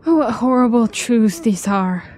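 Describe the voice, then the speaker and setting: An actress playing an anxious, questioning traveler in a fantasy radio drama, with formal, earnest phrasing. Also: female voice, fairly high pitch, expressive, measured pace, darkish tone. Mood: troubled, questioning.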